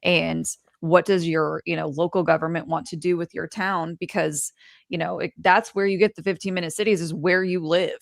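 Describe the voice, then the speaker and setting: A woman's voice: fairly low pitch, steady tone, moderate pace, gravelly, no room sound, clean studio sound. A woman co-hosting a stream, chatting casually with lots of fillers and laughs. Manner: loose, laughing, filler-heavy. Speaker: stream co-host. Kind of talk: casual chat.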